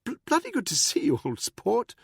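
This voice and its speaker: Squeaky flustered posh bloke, stammers constantly, husky edge. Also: male voice, wildly swooping pitch, moderate pace, no room sound, studio quality, heavily acted. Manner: stammering, tripping over words. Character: panicky posh stammering male official, cowardly.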